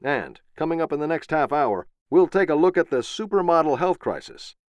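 A man's voice: a little high, very animated, normal speed, no room sound, muffled recording, voice pushed up. A man voicing a television news anchor who reads in a formal newsreader cadence.

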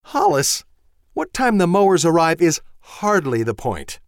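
A man voicing a scandalized wife who scolds in a shrill, indignant voice. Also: huge pitch swings, fairly quick, no echo, studio quality.

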